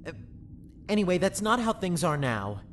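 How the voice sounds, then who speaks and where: A woman playing a weary, conflicted male ship administrator in a sci-fi drama, speaking earnestly and haltingly. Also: on the low side, big pitch swings, slight background noise. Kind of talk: sci-fi audio drama acting.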